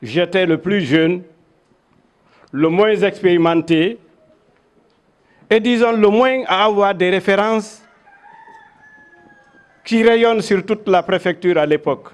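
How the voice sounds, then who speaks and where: Older guinean man w high voice making a speech, measured n oratorical, recalling old times. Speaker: veteran local dignitary. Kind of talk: public speech with personal recollections. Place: crowded covered event venue. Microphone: handheld microphones held in front.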